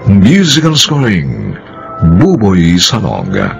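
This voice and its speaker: Deep solemn radio drama narrator guy, huge pitch swings, music playing behind. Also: huge pitch swings, gravelly, lo-fi sound. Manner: solemn, theatrical, deliberate.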